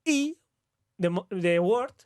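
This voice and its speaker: High-voiced Spanish-accented man. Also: animated, a little mellow, dry recording, studio quality. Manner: playful, halting English with Spanish phrases. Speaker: co-host teaching football words. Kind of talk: casual bilingual podcast chat.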